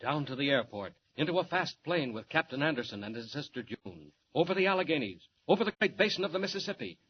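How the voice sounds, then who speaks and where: A man's voice: on the high side, sing-song, fast. A man narrating an old radio serial in a theatrical, suspense-building 1940s style, on a muffled, hissy recording. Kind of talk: 1940s dramatic scripted narration.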